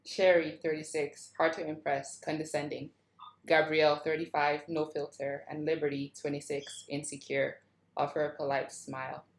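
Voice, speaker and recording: A woman's voice: low-pitched, little pitch movement, normal speed. A woman narrator reading screenplay stage directions aloud. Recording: roomy, echoing sound.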